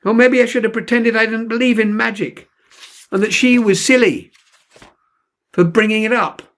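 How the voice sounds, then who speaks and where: Man voicing an anguished, heartbroken teenage boy, pitched high. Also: normal speed, fairly bright tone. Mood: bitter regret. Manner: bitter, pleading, self-questioning.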